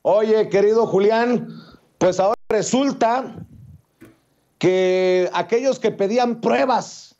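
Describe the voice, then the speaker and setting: A middle-aged man hosts a political talk show from his office over a video call. His voice sits high for a man and comes through muffled and lo-fi. He talks fluently and sarcastically, with a habitual "eh" between phrases. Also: slow pace, darkish tone. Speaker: host. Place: office with window blinds, indoors. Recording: dull lo-fi webcam audio.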